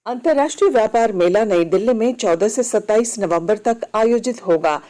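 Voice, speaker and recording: A woman reads a scripted regional news bulletin in a measured, formal manner. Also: a little high, lively intonation, dark tone. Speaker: news reader.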